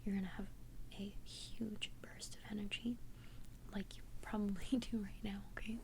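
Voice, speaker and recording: A woman playing a protective vampire best friend in a whispered ASMR roleplay, speaking breathily in a soothing, halting, reassuring way. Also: medium pitch, level tone, slight background noise, natural voice, poor audio. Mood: soothing, encouraging.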